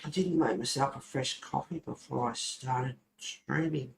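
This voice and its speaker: A man talks in an informal, rambling way, like someone hosting a laid-back hobby stream. His voice sits at an average male pitch. The room adds a clear echo, with faint noise behind him. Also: measured pace. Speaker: host of a colouring club stream. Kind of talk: casual rambling chat while colouring.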